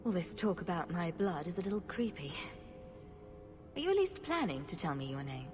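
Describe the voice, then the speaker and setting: A woman's voice: on the low side, sing-song, moderate pace. A woman playing a sarcastic rogue adventurer in a video game, with a wry, flippant way of talking. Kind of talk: video game character acting. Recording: reverberant hall, music behind.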